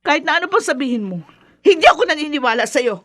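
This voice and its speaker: An actress in a radio drama playing a distraught, accusing younger sibling, with frantic and tearful urgency. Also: female voice, sits high, big pitch swings, fast, fairly bright tone, a little hiss or hum. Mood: angry, accusing. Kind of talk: melodramatic radio drama acting.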